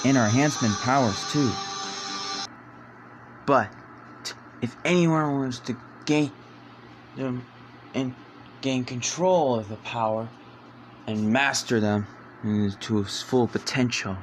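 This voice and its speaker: A man acts out amateur superhero dialogue at a middle pitch. His delivery is halting and deliberate, with phrases broken into short pieces. Music plays behind his voice. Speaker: amateur voice actor. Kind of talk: performing fan-made superhero script lines.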